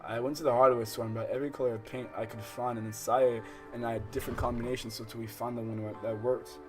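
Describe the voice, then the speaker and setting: American male let's-play streamer, lower-pitched than some but ordinary range, slangy, profane, self-interrupting, game music underneath. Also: level tone, fast talker. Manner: slangy, profane, digressive, interrupting himself while reading. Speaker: livestream host playing a visual novel. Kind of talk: casual let's-play commentary with read-aloud game text. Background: game soundtrack music.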